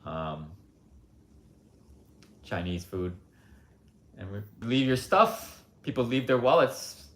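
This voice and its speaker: A man's voice: medium pitch, sing-song, slow pace. A man hosting a livestream, talking in a blunt, rambling, opinionated way with lots of asides. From United States. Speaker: livestream host. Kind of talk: casual opinionated monologue. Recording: roomy echo.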